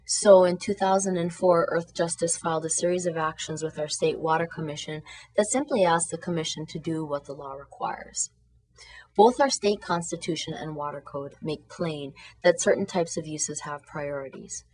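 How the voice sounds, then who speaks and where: A woman from Hawaii, a lawyer, giving measured, explanatory documentary narration. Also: deep voice, darkish tone, rough recording. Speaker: environmental lawyer.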